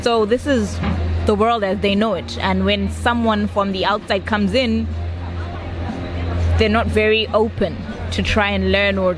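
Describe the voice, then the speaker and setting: A woman's voice: medium pitch, steady tone, a little slow, poor audio. Young woman interviewee, matter of fact, chatty, outside w crowd noise and music going. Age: young adult. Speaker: interviewee, foreign student. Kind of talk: candid casual interview.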